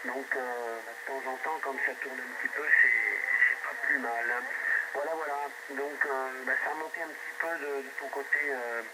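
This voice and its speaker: A man's voice: medium pitch, steady tone, moderate pace. A man chatting casually as a radio operator over a two-way radio, easygoing and often repeating his words. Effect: two-way radio transmission. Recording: very noisy crackling radio transmission.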